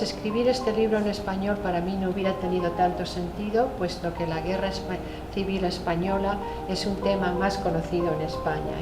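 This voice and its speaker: A woman memoir author whose first language is Spanish, answering panel questions in a measured, reflective way. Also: fairly low pitch. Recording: echoey room with music behind.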